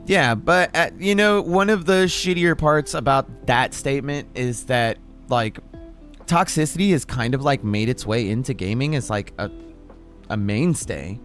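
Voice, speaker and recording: A man's voice: mid-range voice, unhurried, a bit bright, faint music behind. A young man hosting a casual talk show from his room. He talks loosely, swears freely and leans on lots of filler words. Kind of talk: casual unscripted chat. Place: home room, self-described cave.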